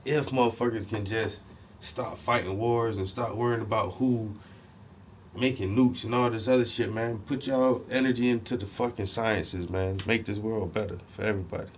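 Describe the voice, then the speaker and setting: A man's voice: on the low side, steady tone, normal speed, a little hiss or hum. A man hosting, talking in a loose, slangy, profane casual rant. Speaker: host.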